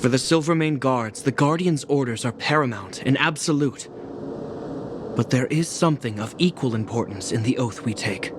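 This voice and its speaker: An actor playing a stern, duty-bound young guard captain in a video game, speaking in a formal, commanding, solemn military way. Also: male voice, mid-range voice, normal speed, background noise, close to an everyday voice. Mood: solemn, resolute. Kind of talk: dramatic video game voice acting.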